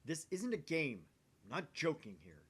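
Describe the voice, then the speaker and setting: A man's voice: on the high side, sing-song, moderate pace, breathy edge, voice pushed up. A man voicing an intense, theatrical young self-styled mad scientist from a visual novel, with grandiose, urgent delivery. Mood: urgent, grave.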